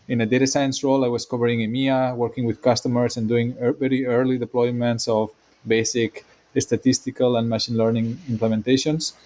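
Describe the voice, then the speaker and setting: A man from Spain with a Spanish accent, a guest in a casual podcast chat on a video call, explaining his career in long, relaxed runs. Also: mid-range voice.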